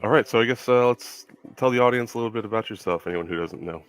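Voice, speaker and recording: A young man hosting a casual Q&A stream, speaking in a relaxed way with many ums and filler words. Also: mid-range voice, fast, smooth, soft background music. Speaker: stream host.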